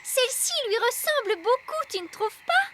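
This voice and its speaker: Bossy high-pitched little fairy girl. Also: big pitch swings, moderate pace, noisy background. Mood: chiding. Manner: childlike, self-assured. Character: confident, bossy little fairy girl, know-it-all friend. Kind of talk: dubbed preschool cartoon acting.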